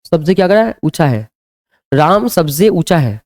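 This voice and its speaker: A man's voice: a little high, big pitch swings, moderate pace, a little mellow. A man teaching a live online grammar lesson, quizzing students with repeated questions and answering them himself.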